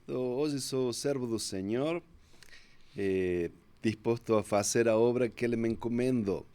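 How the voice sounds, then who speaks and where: A man in his fifties from Córdoba, Argentina, with a strong Argentine Spanish accent, telling his life story as a podcast guest in a relaxed, reflective way. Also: medium pitch, smooth. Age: 50s. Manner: unhurried, reflective storyteller, often restarts with "então". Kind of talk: casual storytelling interview.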